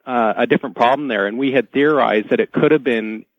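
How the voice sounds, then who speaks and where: A man as a radio guest, explaining things haltingly and often repeating words. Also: mid-range voice, no room sound. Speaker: talk show guest. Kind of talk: explanatory radio interview talk. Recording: dull, lo-fi dry radio feed.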